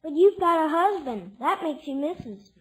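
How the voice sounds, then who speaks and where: A woman's voice: sits high, expressive, normal speed, a crowd in the background, poor audio. A woman playing an earnest, inquisitive, polite little boy in 1950s radio comedy, blunt and innocent in manner. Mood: curious, earnest.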